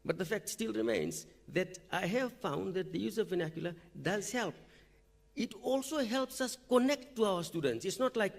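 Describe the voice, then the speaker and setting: A man's voice: sits high, expressive, moderate pace, vocal fry. A male university lecturer speaking at a teaching forum, explaining his classroom methods in a conversational way and checking in with "okay?" often. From Fiji. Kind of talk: conference talk. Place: lecture hall or forum room.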